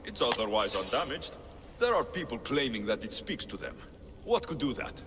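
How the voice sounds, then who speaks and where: An actor playing a pragmatic, skeptical mercenary adventurer in a game cutscene, wry and matter-of-fact. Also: male voice, mid-range voice, big pitch swings, normal speed, in a large hall, noisy background, natural voice. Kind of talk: video game cutscene acting.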